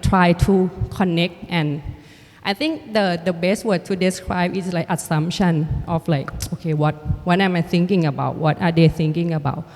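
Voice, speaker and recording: A Thai woman artist and filmmaker, a native Thai speaker, talking reflectively in a halting way with many restarts and fillers. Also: lowish voice, a little mellow. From Thailand. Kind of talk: reflective conversation. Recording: hall with long reverb.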